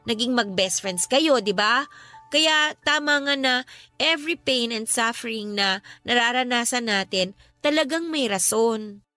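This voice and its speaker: A high, bright young female voice plays a devout sister in mourning. She speaks earnestly and reassuringly, in the manner of a Filipino radio drama, with music underneath. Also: animated, unhurried, dry recording. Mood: earnest consoling. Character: grieving, devout younger sister consoling her older friend.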